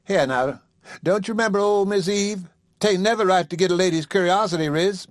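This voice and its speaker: Man doing a shy lady, high and fluttery, coy, very dry close mic. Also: fairly quick, no room sound, close to an everyday voice. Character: shy, modest woman cook being courted.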